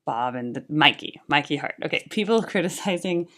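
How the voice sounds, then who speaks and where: A young woman with a low-pitched voice talks casually straight to her audience. She's earnest and chatty, and her speech is filled with "like" and "right?". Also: animated, brisk, a bit bright. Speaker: YouTube music reactor and tribute band singer. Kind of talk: casual talking-head chat about music.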